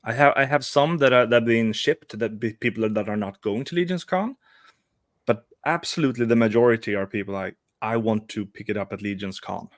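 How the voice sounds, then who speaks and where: A man's voice: fairly low pitch, brisk, no room sound, clean studio sound. A man in his forties, a guest on a live stream, chatting casually with frequent restarts and hesitations. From Sweden. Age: 40s. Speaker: guest, toy customizer.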